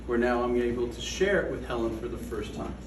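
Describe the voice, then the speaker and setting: Man, poet reading, measured and slow, roomy reverb, away from the mic. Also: medium pitch, lively intonation, noisy background. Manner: slow, measured recitation with falling line endings. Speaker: poet reading his poem. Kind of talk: poetry reading at a gallery event. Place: art gallery room. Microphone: away from the microphone. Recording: echoey room from a distance.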